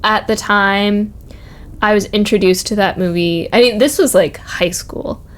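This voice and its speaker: A young woman talks in a casual, conversational podcast style, with a middling pitch for a woman. She is informal and reflective, telling stories in a loose, hesitant way as she thinks them through. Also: unhurried.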